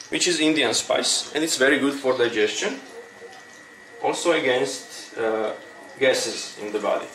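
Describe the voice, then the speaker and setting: A man with a Croatian accent giving an informal cooking demonstration, explaining things in a halting way. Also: mid-range voice, a bit bright. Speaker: cooking instructor. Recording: roomy, distant mic with clear background noise.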